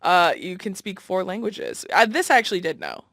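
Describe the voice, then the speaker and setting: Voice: medium pitch, huge pitch swings, a little fast, a bit bright, no room sound. Playful host, teasing, big laughs, dry mic. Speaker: podcast host. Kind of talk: casual interview chat.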